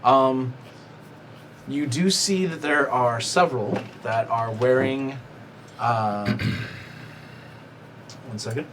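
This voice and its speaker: A man's voice: mid-range voice, extremely slow, pauses often. A man running a tabletop game as game master, narrating with playful laughs and many fillers. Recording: noisy room recording.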